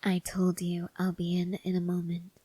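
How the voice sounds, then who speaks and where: A woman playing a stoic, devoted young woman soldier in an intimate ASMR roleplay, speaking softly and hesitantly, often half-whispering. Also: on the low side, monotone, moderate pace, husky, no echo. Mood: tender, quiet. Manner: hushed, hesitant, gently reserved.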